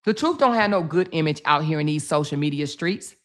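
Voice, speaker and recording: A woman's voice: deep voice, expressive, a little fast. A woman hosting her own commentary channel, talking bluntly and profanely, hammering points home by repeating them. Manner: blunt, profane, emphatic with repetition. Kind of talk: casual social media commentary.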